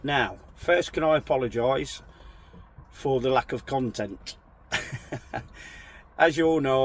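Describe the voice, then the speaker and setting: A man talks to his viewers from his truck cab, his voice still croaky from a recent chest infection. He rambles in a friendly, apologetic way, pitched at an everyday middle register. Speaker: truck driver vlogger. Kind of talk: casual chat to camera.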